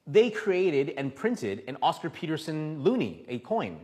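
A man from Canada preaching in a church hall, pausing phrase by phrase for an interpreter. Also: a little high, sing-song, a little mellow. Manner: halting, stops often for interpretation. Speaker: guest preacher. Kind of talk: sermon with live interpreter. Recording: echoey hall.

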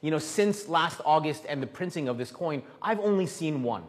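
Canadian guy preaching in a church hall, lively up-and-down voice, halting bits for translation, long reverb. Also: a little high. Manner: halting, stops often for interpretation. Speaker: guest preacher. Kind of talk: sermon with live interpreter. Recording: echoey hall.

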